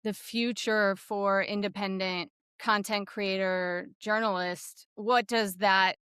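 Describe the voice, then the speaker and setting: A woman interviewer asks a thoughtful question in a soft, very clear voice. The sound is dry and free of room echo. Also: mid-range voice, steady tone, slow. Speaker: podcast host, interviewer.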